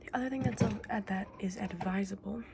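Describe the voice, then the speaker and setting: A woman playing a kindly, eccentric fantasy shopkeeper in an ASMR roleplay, whispering softly and breathily with gentle, polite, quaint phrasing. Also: medium pitch, brisk, lightly acted. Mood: delighted, attentive. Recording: clearly audible background noise.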